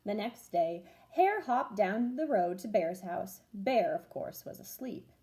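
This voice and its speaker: A woman reading a children's story aloud in an expressive, deliberate way, like a teacher with pupils. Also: medium pitch.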